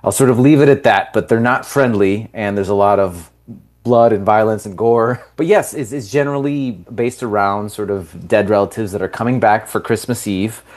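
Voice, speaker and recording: A man's voice: on the low side, normal speed, a little mellow. A Canadian man whose first language is Italian, a film director chatting casually as a radio guest, rambling with many fillers.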